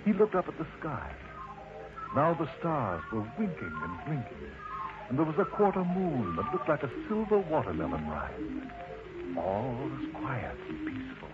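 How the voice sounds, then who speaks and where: A man narrating a children's story in an expressive, unhurried manner, addressing the listener directly. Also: medium pitch, loud music behind the voice. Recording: muffled lo-fi recording with loud noise.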